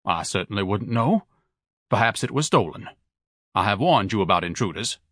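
A man's voice: lowish voice, lively intonation, normal speed, a bit bright, dry recording, studio quality. A man voicing a pompous, lazy grown man who speaks with haughty, elaborate, condescending diction.

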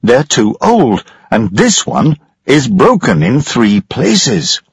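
A man voicing a shaken engineer in a storybook reading who speaks with firm urgency. The husky tone and lively pitch keep him tense, and the recording is close and dry. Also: slow, no room sound, heavily acted. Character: alarmed male engineer passenger.

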